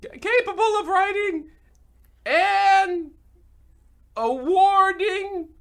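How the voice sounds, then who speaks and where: A man playing a feeble, doddering old lady in a comedy radio play, halting and stammering as the character speaks. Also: very high-pitched, vocal fry, fairly bright tone, full character voice. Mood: halting, eager. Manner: halting, stammering, repeating words.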